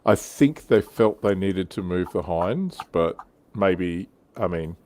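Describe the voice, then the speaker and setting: A man's voice: low-pitched, animated, takes it slowly, darkish tone. A man hosting a casual hobby livestream panel, thinking aloud in a hesitant, self-deprecating way. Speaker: panel show host.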